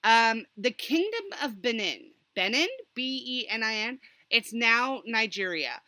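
Bright-voiced woman, animated rambling storyteller. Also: on the high side, measured pace, dry recording. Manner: animated, rambling, sardonic asides. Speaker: podcast host. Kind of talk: casual storytelling chat about history.

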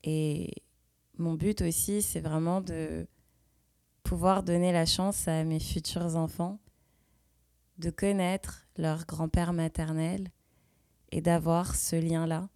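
A woman in her thirties hosting a podcast, speaking in an intimate, earnest and deliberate personal monologue. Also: deep voice, flat delivery, dark tone, no echo. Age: 30s. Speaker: podcast host.

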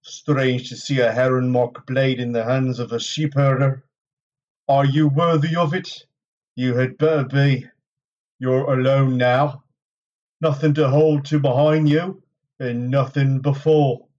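A man's voice: medium pitch, fairly even intonation, a little slow, darkish tone, distant mic, highs cut off, close to an everyday voice. A man voicing a grim, menacing warrior with a stern, cold delivery in a storybook reading. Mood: cold challenge.

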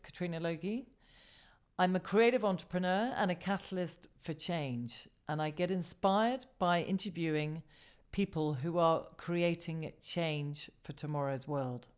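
A woman's voice: on the low side, unhurried, a little mellow. A woman hosting an interview podcast, presenting and asking questions. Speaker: podcast host. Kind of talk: scripted podcast intro, interview.

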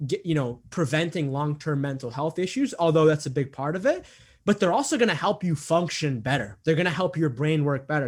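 A man in his twenties talking earnestly in an interview, repeating himself often and filling gaps with "um" and "like". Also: on the high side. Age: 20s. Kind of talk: casual interview talk.

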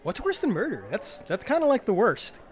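A man plays an easygoing tavern keeper in a comic fantasy audio drama. His voice is slightly high and swings freely in pitch. He sounds casual and bemused, hesitating and reacting with disbelief like an ordinary guy. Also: sing-song, brisk, faint music behind. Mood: curious. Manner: hesitant, incredulous, everyday casual.

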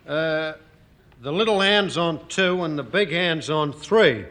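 An actor playing a slow, childlike grown man in a 1950s radio sitcom. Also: a little high, lively intonation, a bit bright. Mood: dim. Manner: slow, simple-minded delivery.

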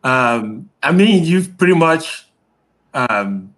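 A man guesting on a podcast over a video call, his voice sitting at an ordinary mid pitch. He answers in a relaxed way and keeps things brief. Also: slow pace, poor audio. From United States, raised in England. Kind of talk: casual interview answers.

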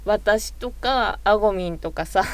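A woman co-hosting a casual chat, explaining things in a lively way to friends. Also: medium pitch, unhurried, no room sound. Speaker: podcast co-host.

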